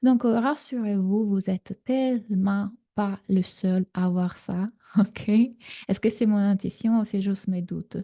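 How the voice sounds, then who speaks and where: A woman's voice: medium pitch, steady tone, a little slow, dark tone. A woman in her thirties from Italy with an Italian accent, giving a live online coaching masterclass in a reassuring way with frequent fillers, on a computer mic. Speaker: relationship coach.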